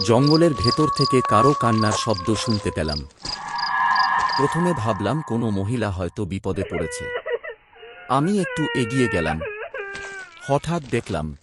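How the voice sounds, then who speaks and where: A man telling a first-person horror story in a hushed, dramatic way. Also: medium pitch. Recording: spooky music bed under narration.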